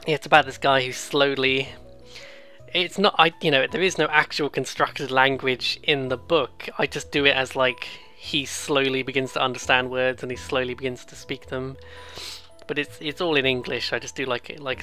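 A let's player giving casual, excitable, rambling game commentary, often interrupting themselves and reading dialogue aloud. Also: mid-range voice, moderate pace. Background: game music.

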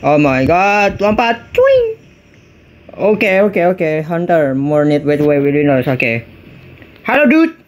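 A man's voice: a little high, huge pitch swings, a little slow. An Indonesian man with an Indonesian accent doing casual, reactive gameplay commentary, mixing slang with exclamations. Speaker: gaming YouTuber.

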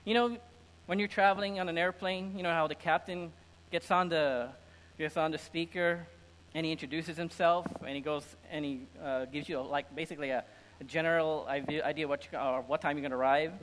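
A middle-aged man preaching a conversational, informal sermon with an animated, humorous manner. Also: fairly high pitch, brisk, slight background noise. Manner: animated, informal, acts out imagined dialogue. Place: indoor church stage with lectern and screen. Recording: reverberant hall sound.

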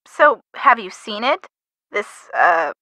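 A woman's voice: medium pitch, very animated, takes it slowly, bright, forceful tone, no echo, full character voice, rough recording. A woman playing a sensible, teasing American girl sidekick in a video game, dry and matter-of-fact in phone dialogue. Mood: curious. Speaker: voice actor.

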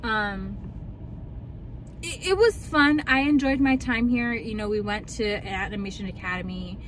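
A woman's voice: on the high side, moderate pace, a little hiss or hum, poor audio. A young woman vlogger chatting and ranting casually in a car, full of likes and ums. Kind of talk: casual chat.

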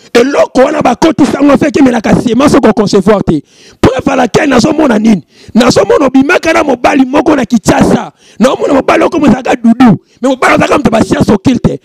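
High-pitched gravelly preaching man. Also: a little mellow, no echo. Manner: chanting exclamations, mixing French and Lingala. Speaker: prophet and show host. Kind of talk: preaching and reading out prayer messages. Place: studio with warm lamp backdrop. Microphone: handheld microphone.

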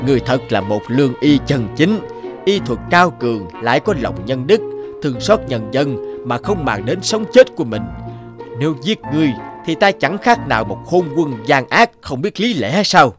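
A man's voice: medium pitch, sing-song, moderate pace, music in the background, natural voice. Man acting grand cartoon king, musical backing. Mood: magnanimous. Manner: grand, declamatory. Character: stern but magnanimous grown king.

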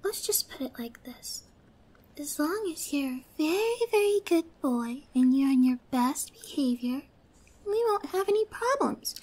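A woman playing a young, obsessed, possessive girlfriend in an ASMR roleplay, sweet yet menacing, with a soft girlish voice and a coy, drawn-out delivery. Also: high-pitched, lively intonation. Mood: quietly menacing. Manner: slow, coy, drawn-out, slipping into whispers.

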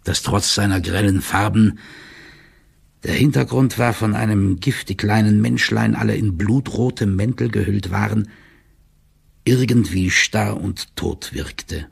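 Gravelly, formal male official. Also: on the low side, heavily acted. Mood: uneasy, measured. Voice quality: gravelly, husky. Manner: formal, measured, drily ironic. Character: stout male official giving a stiff, wry written report. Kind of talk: dramatic reading of a report in an audio drama.

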